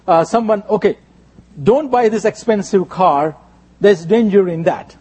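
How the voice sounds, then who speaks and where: A man with an Indian accent, a native Gujarati speaker, preaching in short phrases with a husky voice, pausing for an interpreter. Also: high-pitched, dark tone.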